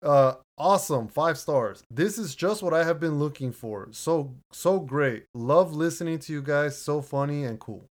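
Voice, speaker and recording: A man with a deep voice co-hosts a relaxed podcast from home. He reads listener reviews aloud in an easygoing way, often laughing and restarting his words. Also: normal speed, few pauses. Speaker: podcast co-host. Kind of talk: casual chat reading listener reviews. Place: home room, recording remotely.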